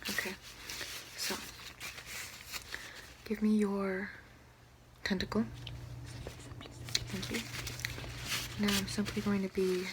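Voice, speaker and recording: A young woman speaking softly and close up in an ASMR doctor roleplay, gentle and hushed, often slipping toward a whisper. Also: medium pitch, level tone, slow, long pauses, noisy background. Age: young adult. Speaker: ASMR performer playing an alien doctor. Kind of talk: ASMR roleplay, soft-spoken medical check-up. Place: small room at home, indoors.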